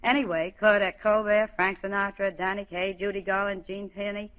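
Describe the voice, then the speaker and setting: Man as a high-voiced, gushing society gossip, 1940s radio comedy, muffled old broadcast. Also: level tone, moderate pace, clean tone, close to an everyday voice. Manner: gushing name-dropper. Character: chatty society gossip heading to a party.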